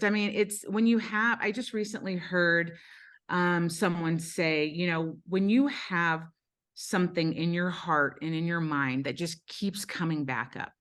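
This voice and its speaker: A woman's voice: on the low side, a bit bright. A woman from Brazil, a podcast guest, telling her life story candidly and earnestly, with frequent ums and restarts.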